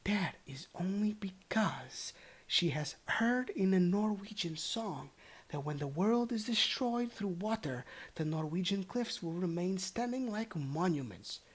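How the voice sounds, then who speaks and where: A storybook reader voicing a small young elfin sister who whispers confidingly in a breathy voice. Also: mid-range voice.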